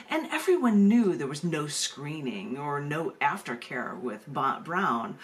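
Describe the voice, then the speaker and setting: A woman hosting an open mic and reading her poetry aloud, moving between casual talk and narrative reading. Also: deep voice. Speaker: open mic host and poet. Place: video call open mic. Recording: noisy room sound.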